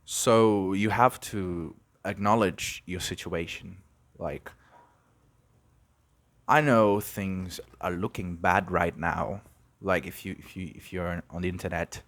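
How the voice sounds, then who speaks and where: A young man from Brazil hosting an unscripted podcast, musing and halting, with many restarts. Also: low-pitched, fairly bright tone, dry recording. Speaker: podcast host. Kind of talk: unscripted reflective monologue.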